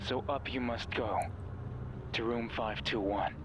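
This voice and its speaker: A man voicing a mysterious, manipulative horror game figure who speaks like a menacing false friend, taunting and deliberate. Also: mid-range voice, fairly even intonation, background noise, lightly acted. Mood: menacing, intimate. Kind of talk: dramatic horror game voice acting. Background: background music.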